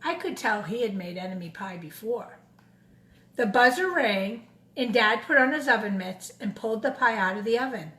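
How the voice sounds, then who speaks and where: In an echoey room, a woman with a fairly high voice reads a children's story aloud. Her delivery is expressive and deliberate, and she breaks off for questioning asides to her young listeners. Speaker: storyteller reading a picture book to children.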